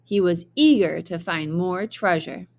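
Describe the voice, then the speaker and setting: Slow, expressive woman reading a storybook. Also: fairly low pitch. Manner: expressive, deliberate. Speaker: teacher reading aloud. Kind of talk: children's storybook narration.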